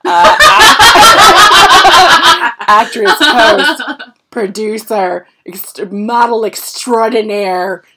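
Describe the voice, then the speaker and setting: A woman co-hosting a podcast in casual chat, joking with deadpan innuendo and laughing often. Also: on the high side, drawn-out words, background noise. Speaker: podcast co-host, actress.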